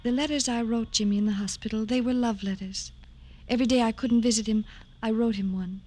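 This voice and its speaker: A gentle young woman in mourning, played by an actress, speaks in a high, soft voice. She is earnest and deliberate, with the wistful delivery of a classic radio drama. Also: steady tone, natural voice. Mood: quiet grief. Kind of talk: old-time radio drama acting.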